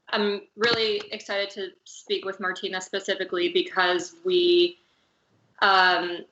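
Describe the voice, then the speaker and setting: A woman's voice: lowish voice, echoey room, muffled recording, background noise. A woman from California, a fashion designer hosting a casual live-streamed conversation, hedging with many fillers. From California, United States. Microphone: video call.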